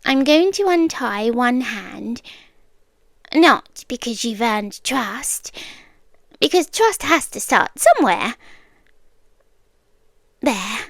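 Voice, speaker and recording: A British woman acting in an ASMR roleplay as an obsessive, calmly possessive girlfriend, speaking intimately and often slipping into whispers. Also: on the high side, takes it slowly, creaky, pauses often, dry recording, clean studio sound, full character voice. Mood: calm, controlling. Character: obsessive, soft-spoken yandere girlfriend, calmly possessive young woman captor.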